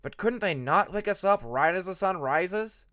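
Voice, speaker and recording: A man's voice: high-pitched, lively intonation, moderate pace, a bit bright, dry recording, clean studio sound, voice pushed up. A man voicing a sleepy, complaining young male dragon student in an audiobook story. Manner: groggy, complaining.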